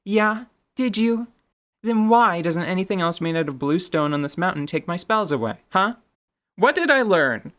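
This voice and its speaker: A woman's voice: fairly low pitch, animated, moderate pace, a bit bright. A woman chatting casually as a tabletop game player, in a conversational, bantering style.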